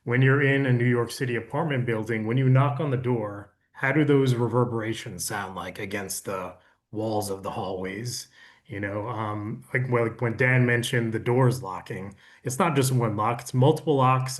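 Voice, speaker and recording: A man speaking as a producer in a casual panel conversation, explaining things in a measured way. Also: medium pitch. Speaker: audiobook producer and interview guest.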